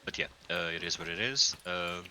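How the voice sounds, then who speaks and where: A man with a Portuguese accent, a tabletop game player describing his character in a relaxed, rambling way. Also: sits low, normal speed, a little hiss or hum. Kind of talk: casual chat.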